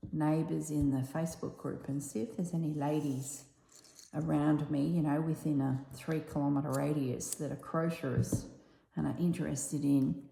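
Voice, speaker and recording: An Australian woman with a husky, slightly strained voice chatting casually while she stitches, softly dropping toward a whisper at times. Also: sits low. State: voice strained from yelling. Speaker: embroidery vlogger. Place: tiled room with little on the floor. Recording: echoey tiled room.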